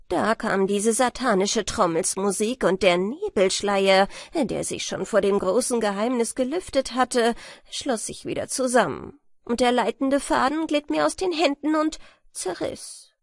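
A woman's voice: on the high side, vocal fry, no room sound, studio quality. A woman voicing a despairing male alchemist in a fairy tale, lamenting dramatically and plaintively.

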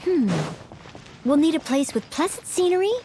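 An actress voicing a shy, earnest, dutiful teenage maid knight in an anime-style game, polite and hesitant. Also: female voice, sits high, lively intonation, normal speed, dark tone, a little hiss or hum. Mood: earnest, helpful.

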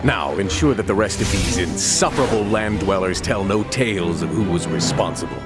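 A man's voice: sits low, expressive, moderate pace, over loud music. A man playing a cold, commanding undersea villain in a video game, with a pompous, menacing delivery.

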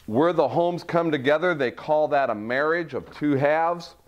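A man's voice: fairly high pitch, normal speed, highs cut off. A middle-aged man leading a walkthrough tour of a model home, listing its features in a salesy way. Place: furnished model home, indoors.

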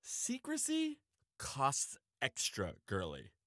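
A man's voice: mid-range voice, wildly swooping pitch, slow pace, sharp tone, no echo, studio quality, exaggerated voice. A man playing a cheeky, shrewd weaponsmith in an audio roleplay, with a playful, theatrical delivery. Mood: suspicious, bargaining. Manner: playful, mock-wounded, dramatic. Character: cheeky, theatrical male weaponsmith and shrewd old family friend. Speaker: guest voice actor in audio roleplay. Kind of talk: scripted audio drama acting.

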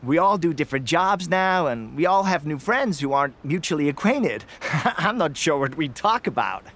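A man's voice: fairly high pitch, animated, moderate pace, fairly bright tone, slight background noise. A man playing a cheerful, eager and loyal soldier in a video game, hearty and emphatic. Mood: sheepish. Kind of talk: scripted video game voice acting.

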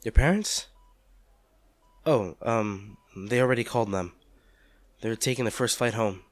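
A man's voice: fairly low pitch, unhurried, dry recording, close to an everyday voice. A man playing a devoted, protective partner in an intimate audio drama, tender and reassuring as he comforts an injured loved one. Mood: gentle, coaxing. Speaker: audio roleplay performer.

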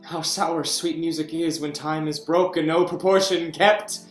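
Deposed king, slow and brooding, man acting, roomy webcam echo, faint music behind. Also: fairly high pitch, fairly even intonation, close to an everyday voice. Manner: slow, swings from hushed whispers to outbursts. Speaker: actor in a staged reading. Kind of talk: Shakespeare reading over video call. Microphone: video call webcam.

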